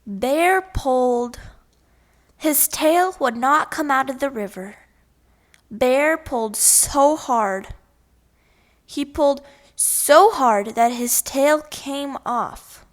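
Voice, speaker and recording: Very slow, high-voiced woman reading a children's story. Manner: slow, deliberate phrasing in short units. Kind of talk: scripted read-aloud narration for children.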